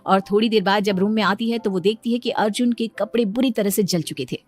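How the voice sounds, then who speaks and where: A woman's voice: mid-range voice, brisk, a little mellow. A woman reading scripted story narration, telling a family tale as a narrator.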